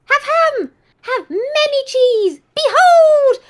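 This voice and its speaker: A woman voicing an excitable, doting female house spirit that talks childlike, in clipped broken phrases, in a role-play. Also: very high-pitched, drawn-out words, slight background noise, pitched above the performer's own voice, heavily acted. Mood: triumphant.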